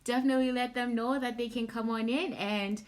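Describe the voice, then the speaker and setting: A young adult woman from Zimbabwe hosting a casual live question-and-answer session, informal and halting, repeating words as she thinks. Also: on the high side, fairly even intonation. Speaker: platform host answering audience questions.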